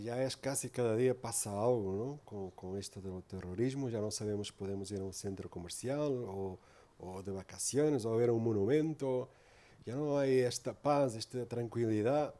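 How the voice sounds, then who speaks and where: A man's voice: mid-range voice, mellow. A man from Portugal preaching in Spanish with a Portuguese accent, giving an earnest, informal sermon full of tag questions.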